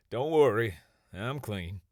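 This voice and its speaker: A man's voice: on the low side, animated, extremely slow, no echo, clean studio sound, exaggerated voice. An actor playing a laid-back, cocky male gunslinger with a casual manner in an audiobook. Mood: easygoing. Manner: casual, chuckling. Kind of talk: audiobook character acting.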